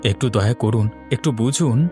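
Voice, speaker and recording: A man's voice: mid-range voice, fairly quick, warm tone, lightly acted. A man voicing a poor, despairing village father in a melodramatic cartoon, plaintive and bitter. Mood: pleading. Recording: music behind the voice. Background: background music.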